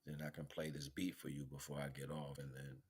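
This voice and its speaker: A man's voice: very deep voice, flat delivery, a little fast, slight background noise. A man chatting casually as a livestream host, rambling in slang and repeating catchphrases.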